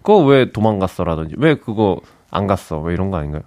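A man's voice: low voice, big pitch swings, fast talker, a little mellow. A man co-hosting a casual chat, easygoing and curious, who laughs along. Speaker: radio host.

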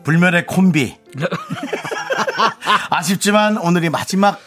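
A man's voice: sits high, fairly bright tone, soft background music. A man hosting a radio comedy show, teasing callers and bantering in a running bit. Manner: quick teasing comic host, plays along in a bit.

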